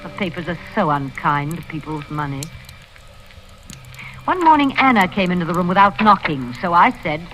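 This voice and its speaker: A woman's voice: on the low side, sing-song, moderate pace, a bit bright, soft background music, rough recording. An actress playing a haughty, prim, wealthy old lady in a vintage radio drama. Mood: prim narrating. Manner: imperious, prim, scolding. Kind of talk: 1940s radio acting, first-person narration and dialogue.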